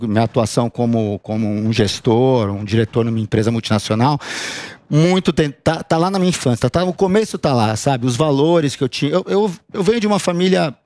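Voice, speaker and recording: A middle-aged man from São Paulo with a Paulistano accent, a guest answering interview questions thoughtfully, pausing and restarting his sentences. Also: medium pitch, a little mellow, dry recording, studio quality. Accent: Paulistano Brazilian Portuguese. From São Paulo, Brazil. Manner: thoughtful, halting, restarts often. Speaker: guest, sales and operations director.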